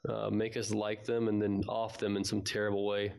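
A man's voice: lowish voice, completely flat delivery, normal speed, vocal fry, a little mellow. A man hosting a fan podcast in a casual, informal and chatty way.

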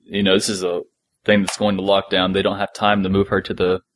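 A man's voice: low-pitched, completely flat delivery, brisk, slight background noise. A man co-hosting a podcast in a casual discussion, explaining things in detail and thinking aloud. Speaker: podcast co-host.

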